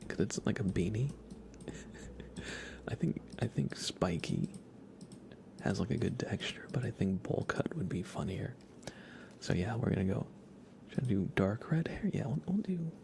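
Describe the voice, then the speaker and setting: A man livestreaming a game in ASMR style, softly whispering in a relaxed, casual way as he thinks aloud and chats with viewers. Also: lowish voice, noisy background. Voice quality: soft, breathy whisper.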